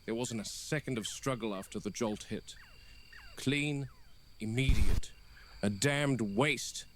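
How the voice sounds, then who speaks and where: A stern, exasperated game warden played by a man speaks gravely and bluntly, as if logging an incident for a found-footage horror film. The voice sits at a medium pitch and is deliberate, over faint noisy night ambience. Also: close to an everyday voice. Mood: grim, stern.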